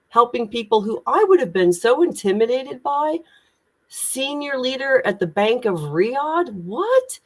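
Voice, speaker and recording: A woman from Indiana with an American Midwestern accent, speaking as a communication coach in an emphatic, inspirational way on a video call. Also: mid-range voice. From United States (Indiana). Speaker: guest, executive communication coach. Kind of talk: LinkedIn live conversation.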